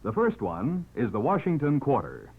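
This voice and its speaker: A man's voice: medium pitch, wildly swooping pitch, normal speed, husky. A man reading an earnest scripted public service message in 1950s radio style. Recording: narrow-band vintage radio recording.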